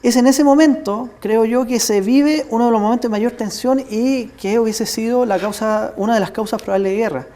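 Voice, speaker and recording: A man's voice: very high-pitched, moderate pace, few pauses, mellow. A man with a Chilean accent giving an emphatic, explanatory historical account as an interview guest. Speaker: studio guest, military history commentator.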